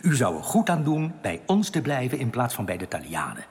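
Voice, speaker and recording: An actor playing a calm, earnest outcast from an alien underground people in a science fiction radio play, explaining things in a measured, wary way. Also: male voice, medium pitch. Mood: earnest, explanatory. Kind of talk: radio drama acting. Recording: long cavernous reverb.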